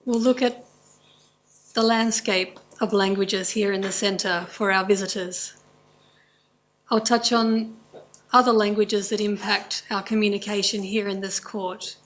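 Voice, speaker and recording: A woman with an Australian accent giving a formal, measured presentation in a courtroom, speaking in short listed phrases. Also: mid-range voice, fairly even intonation, poor audio. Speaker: language presenter at a court inquest.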